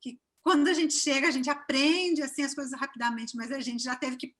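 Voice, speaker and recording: A woman in her forties from Brazil with a Cachoeira accent, speaking in a bright, somewhat high voice over a video call. Her talk is warm and chatty, full of fillers and restarts. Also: steady tone, a little fast. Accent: Brazilian Portuguese, from Cachoeira (Bahia). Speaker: interview guest. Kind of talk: casual interview chat.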